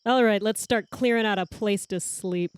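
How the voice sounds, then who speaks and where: A woman's voice: medium pitch, moderate pace, smooth, no room sound. A woman playing a tabletop roleplaying game, improvising casually in a wry, teasing way.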